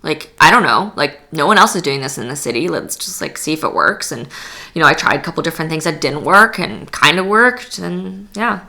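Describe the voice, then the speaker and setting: A woman's voice: low-pitched, animated, a little fast, vocal fry, sharp tone. A young woman talking candidly as a podcast guest, with lots of fillers and laughs. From Canada. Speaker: guest, yoga studio founder. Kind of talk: casual interview chat.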